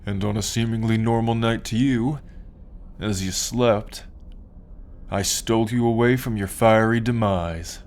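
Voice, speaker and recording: Slow, low-voiced smug alien abductor played by a man. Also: darkish tone. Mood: smug, explanatory. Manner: condescending, taunting, drawn-out menacing delivery. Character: smug, possessive male alien abductor and collector. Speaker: ASMR roleplay performer. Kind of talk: ASMR roleplay, slow dramatic monologue.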